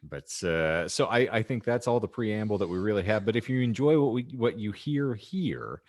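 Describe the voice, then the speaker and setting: Animated male podcast host, wordy. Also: lowish voice, moderate pace, no echo, studio quality. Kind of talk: casual podcast chat.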